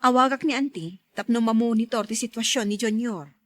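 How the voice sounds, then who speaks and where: A busy young Filipino mother, acted by a woman in a radio drama. She speaks in a high voice, closely recorded and dry, as a career-minded wife. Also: a little fast, dry recording, lightly acted. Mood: hurried.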